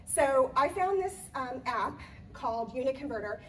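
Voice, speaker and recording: A woman event planner, slightly hoarse, giving a chatty live talk in a conference room with many ums and restarts. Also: high-pitched, lively intonation, moderate pace, far from the mic, a little hiss or hum, rough recording. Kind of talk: live presentation to an audience.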